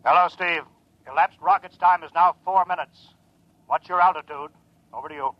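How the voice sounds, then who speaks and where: A middle-aged man acting a gruff, anxious, guilt-ridden rocket program boss in a 1950s radio drama, speaking with urgent emphasis. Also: fairly high pitch, normal speed, close to an everyday voice. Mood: urgent, alarmed. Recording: dull 1950s radio recording.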